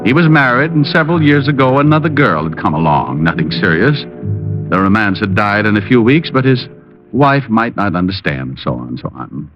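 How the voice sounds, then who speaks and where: An actor playing a cocky, wisecracking private detective with a wry, breezy delivery in an old noir radio drama. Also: male voice, on the low side, animated, fairly quick, music in the background, natural voice. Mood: sardonic narration. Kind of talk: 1950s radio drama acting and noir narration. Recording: dull old radio recording.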